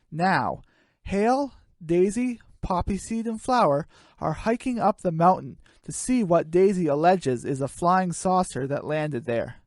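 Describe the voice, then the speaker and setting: A storyteller narrating a homemade radio play. The pitch moves around a lot, and the recording is dry with very little room sound. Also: dry recording.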